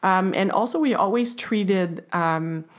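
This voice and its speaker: A woman in her forties, a guest on a parenting podcast, talking about her family in a casual, hesitant way with frequent pauses. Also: mid-range voice. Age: 40s. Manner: hesitant, many fillers and restarts. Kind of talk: casual chat.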